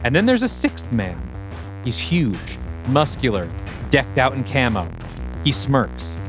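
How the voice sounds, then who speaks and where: A man's voice: mid-range voice, huge pitch swings, slow pace, over music, rough recording. A man hosting a podcast, reading scripted scene directions as the narrator of an audio play.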